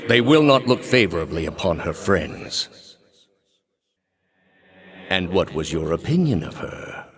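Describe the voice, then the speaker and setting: Zealous inquisitor priest, low-pitched, solemn, formal, measured delivery, quietly menacing, stern, dramatic game dialogue. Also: male voice, full character voice. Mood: solemn, disapproving. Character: stern zealous male high priest inquisitor leader of a religious order.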